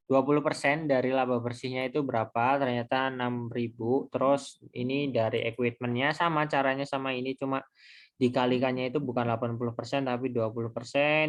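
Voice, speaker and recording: Man explaining step by step, haltingly. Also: mid-range voice. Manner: informal, halting, step-by-step explaining with self-posed questions. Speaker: accounting lecturer. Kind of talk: explanatory screen tutorial lecture.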